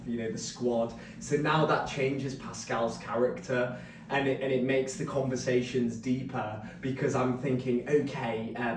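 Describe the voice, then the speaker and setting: A man giving an informal author talk, explaining his writing process in a rambling, animated way. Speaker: novelist discussing his book at a reading. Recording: roomy, distant mic, faint rumbling noise.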